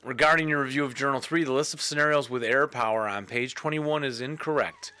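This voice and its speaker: A man's voice: mid-range voice, brisk, sharp tone, smooth. A man co-hosting a podcast, chatting casually and tossing in dry, wisecracking remarks. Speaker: podcast co-host. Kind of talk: casual comedic chat.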